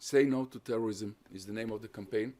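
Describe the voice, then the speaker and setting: Man giving a measured lecture. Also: lowish voice, mellow. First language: Hungarian. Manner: measured, explanatory, builds lists of points. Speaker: guest lecturer on terrorism research. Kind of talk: academic lecture with audience questions.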